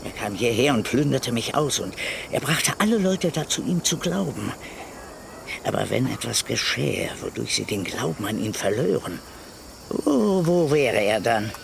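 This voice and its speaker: A man playing a sly, resentful informer in a German radio drama, insinuating and bitter, with a creaky voice. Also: mid-range voice, very animated, unhurried, background noise, heavily acted. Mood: spiteful. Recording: music behind the voice.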